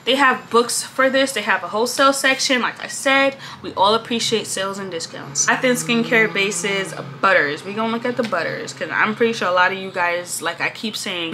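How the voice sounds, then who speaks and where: A young woman vlogging casually at her desk in a small room, laid-back and chatty, her voice a little congested and often trailing off softly at the ends of lines. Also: medium pitch, a little hiss or hum. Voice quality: slightly congested. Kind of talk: casual chat.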